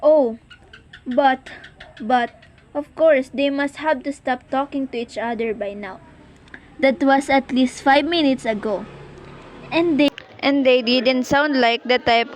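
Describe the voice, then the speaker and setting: Woman acting anxious, high-pitched, imperious lady. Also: slow, pauses often. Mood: impatient, worried. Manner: insistent, fretful, indignant. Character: anxious, imperious, easily exasperated married lady on telephone. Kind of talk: amateur radio play acting. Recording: noisy amateur recording.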